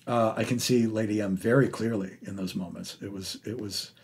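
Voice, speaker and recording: A middle-aged man hosting an interview in a casual, effusive way, rambling and halting as he talks about the arts. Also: fairly low pitch, steady tone, darkish tone, breathy edge. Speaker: podcast host interviewing an actor. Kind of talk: casual, enthusiastic arts conversation.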